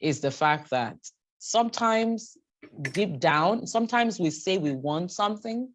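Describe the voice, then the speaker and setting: A woman's voice: lowish voice, expressive, unhurried, slight background noise. A middle-aged woman whose first language is Yoruba, coaching someone in a one-on-one chat, repeating her points and telling everyday stories to encourage.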